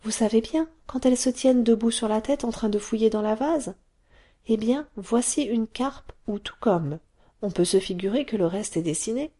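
A woman voicing an excited, curious little cave girl who pleads and chatters. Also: a little high, normal speed, breathy edge, voice pushed up. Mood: chatty.

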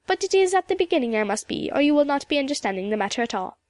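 Woman performing elderly Highland widow, Scottish accent, dignified, emphatic, high-pitched, rich and powerful, storybook character. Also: sing-song, very fast, a little mellow, no echo, studio quality. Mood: earnest, proud. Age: older. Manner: stately, emphatic, lilting turns of phrase. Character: dignified old Highland widow, proud, quaintly earnest storyteller.

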